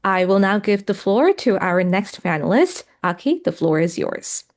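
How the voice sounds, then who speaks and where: A woman's voice: medium pitch, big pitch swings, normal speed, a little mellow. A woman moderating a conference panel, introducing the next speaker in an upbeat way with a few fillers.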